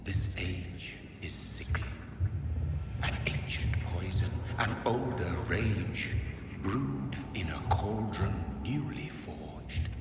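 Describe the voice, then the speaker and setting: A man's voice: low voice, takes it slowly, vocal fry, over loud music, heavily acted. A man voicing an ancient spirit of the land in a video game, solemn and prophetic, speaking in archaic, oracular phrases. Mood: solemn, cryptic. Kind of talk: video game dramatic acting. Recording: distant, long cavernous reverb, loud noise.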